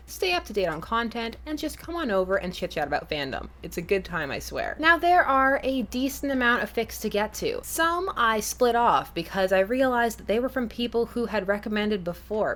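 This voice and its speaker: A woman's voice: medium pitch, a little hiss or hum. A woman hosting a chatty fan fiction recommendation show in a playful, emphatic way. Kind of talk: semi-scripted presenting to camera, chatty.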